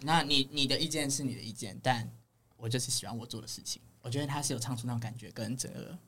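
A man's voice: medium pitch, fast, pushed and bright. A man with a Taiwanese Mandarin accent hosting a casual entertainment podcast in a playful, teasing way. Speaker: podcast host.

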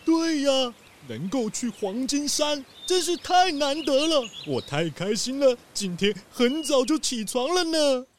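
一位男演員在兒童廣播劇中扮演友善開朗、憨厚有禮的熊。. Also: male voice, very high voice, big pitch swings, measured pace, hardly any pauses, slight background noise, heavily acted. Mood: happy. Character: friendly, cheerful male bear tourist. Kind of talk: children's detective audio drama acting.

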